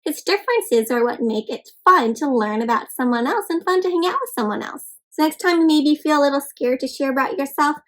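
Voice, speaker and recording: A woman's voice: high-pitched, lively intonation, a little fast, hardly any pauses, a bit bright. A woman hosting an interactive storybook read-aloud for young children, speaking expressively and encouragingly and often putting questions to her listeners. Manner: addresses listeners as friends.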